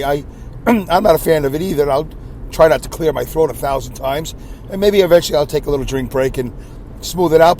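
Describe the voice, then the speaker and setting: A man hosting his own podcast with a scratchy voice, rambling in a self-deprecating way and clearing his throat often. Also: fairly high pitch, a little mellow. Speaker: podcast host. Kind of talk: solo rambling podcast monologue. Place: inside a car.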